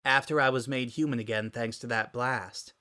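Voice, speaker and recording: A man's voice: mid-range voice, steady tone, moderate pace, pushed and bright, clean tone, lightly acted. A man voicing a guarded but good-natured teenage girl who talks casually, in a dramatic reading. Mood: uneasy.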